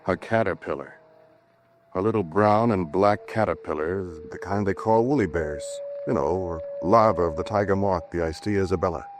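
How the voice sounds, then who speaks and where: A man's voice: low-pitched, normal speed, lightly acted. A man acting in a 1940s radio drama as a laconic, musing scientist with a folksy way of explaining. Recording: noisy vintage 1940s radio recording.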